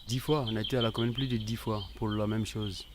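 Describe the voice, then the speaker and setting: A man's voice: fairly low pitch, steady tone, fast. A middle-aged man giving candid, reflective personal testimony in a conversational way, with birdsong and noise around him. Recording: clear outdoor noise with birdsong. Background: birdsong and ambience.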